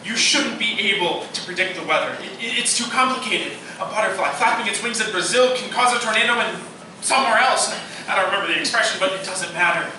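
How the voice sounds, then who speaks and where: A man's voice: high-pitched, fast, bright, forceful tone. A man playing an anxious, awkward college computer science student who stammers in a live radio theatre play. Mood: alarmed. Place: live stage performance in echoey room. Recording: very echoey hall, distant mic, noisy.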